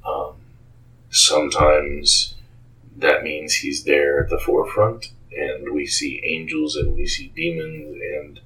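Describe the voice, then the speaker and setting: A man answering interview questions as a game creator, speaking in a halting, measured and earnest way. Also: extremely low pitch, monotone, bright, forceful tone, poor audio.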